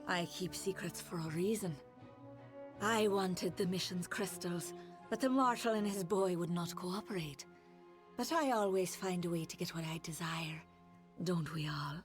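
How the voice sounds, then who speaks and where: A woman's voice: lowish voice, measured pace, music in the background, lightly acted. A woman acting a seductive, scheming fae villain in a video game, with a haughty, silky, taunting manner. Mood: haughty menace.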